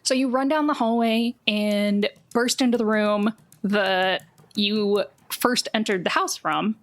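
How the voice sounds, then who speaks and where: A woman's voice: mid-range voice, slow. A woman narrating a tabletop roleplaying game as game master, in a colloquial, conversational storytelling way.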